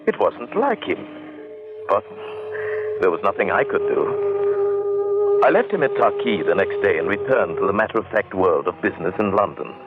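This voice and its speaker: Earnest, low-voiced gentleman adventurer on old radio. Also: huge pitch swings, moderate pace, long pauses, phone-quality sound, loud music behind the voice. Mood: grave, wondering. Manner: courteous, earnest, wondering. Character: concerned English businessman narrating an eerie African adventure. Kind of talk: 1940s-50s radio drama acting and narration. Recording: band-limited old-time radio recording.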